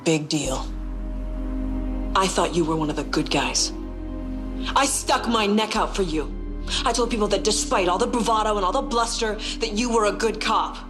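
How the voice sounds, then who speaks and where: Principled female investigator, low voice, dry, sardonic, blunt, TV drama, music behind. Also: a little slow, pauses often. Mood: bitter, accusing. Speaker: actor in a TV supernatural police drama. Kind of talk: screen drama acting. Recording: music under the dialogue.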